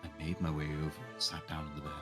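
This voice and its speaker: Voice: very deep voice, completely flat delivery, fast talker, over loud music. A streamer reading a visual novel's narration aloud in a dramatic way.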